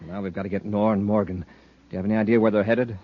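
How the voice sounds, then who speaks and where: An actor playing a level-headed, resolute space patrol commander, giving instructions in 1950s radio drama style. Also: male voice, on the low side, steady tone, very fast, close to an everyday voice. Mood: decisive. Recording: muffled noisy old radio recording.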